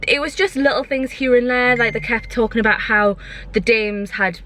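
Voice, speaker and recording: A woman's voice: fairly high pitch, normal speed, fairly bright tone. A young woman chatting casually for a vlog, airing her opinions in an exasperated, emphatic way and repeating herself. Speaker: vlogger.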